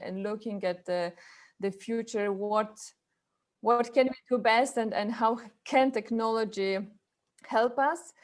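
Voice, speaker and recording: A woman from Poland with a Polish accent gives an informal online presentation, speaking in halting phrases with repeated words. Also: medium pitch. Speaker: webinar presenter, chapter president.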